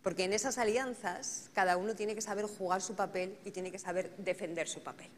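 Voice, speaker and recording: A woman's voice: medium pitch, steady tone, brisk, a little hiss or hum. A woman moderating a conference panel, thinking aloud and often checking in with tag questions. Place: conference hall. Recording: conference hall reverb, faint ambience.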